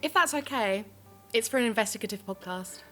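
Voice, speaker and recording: A woman plays a supportive investigative podcast partner in an audio drama. She sounds reasonable, with a slightly raised pitch, and music plays clearly underneath. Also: animated, fairly quick, a bit bright. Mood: polite.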